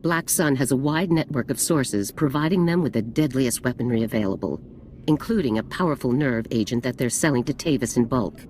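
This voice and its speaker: A woman's voice: rumbling low, fairly quick, a little mellow, a little hiss or hum. A woman playing a stern army general who gives orders in a clipped, unbending way in a video game cutscene. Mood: briefing. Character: stern female general, cold and commanding.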